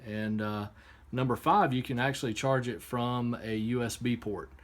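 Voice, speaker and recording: A man giving a casual, unscripted hands-on product demonstration, explaining step by step in a halting way. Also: mid-range voice. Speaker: product reviewer. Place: indoor workshop. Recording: clear background noise, room ambience.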